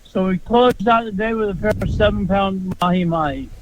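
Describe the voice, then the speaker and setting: A man's voice: sits high, normal speed. A man phoning in a fishing report from a marina, telling it in a dry, matter-of-fact way. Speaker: charter fishing caller. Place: outdoors by a marina. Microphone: phone. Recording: dull phone-like line with noise.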